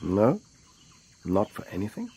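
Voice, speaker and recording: Husky, urbane doctor. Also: male voice, on the low side, wildly swooping pitch, dark tone, heavily acted. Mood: gently probing. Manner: urbane, measured, literary phrasing. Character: worldly, detached male doctor recounting his travels. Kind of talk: radio drama acting and first-person narration.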